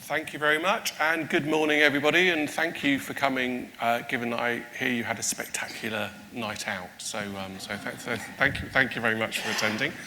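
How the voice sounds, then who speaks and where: Guy starting his talk, lots of um and thank you, bright voice, echoey big hall. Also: medium pitch, steady tone, fairly quick, hardly any pauses, slight background noise. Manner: hesitant, repeats thanks, stumbles over words. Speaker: guest speaker. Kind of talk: opening remarks of a talk. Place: large conference room. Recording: long reverb of a hall, faint ambience.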